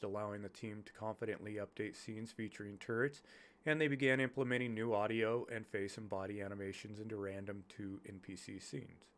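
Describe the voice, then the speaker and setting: A man's voice: fairly low pitch, fairly bright tone. A sick, congested man with a nasal voice reads a technical development report aloud, stumbling and restarting over jargon as he goes. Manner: halting reading with stumbles over technical terms. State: congested from illness. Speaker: YouTuber reading game development notes.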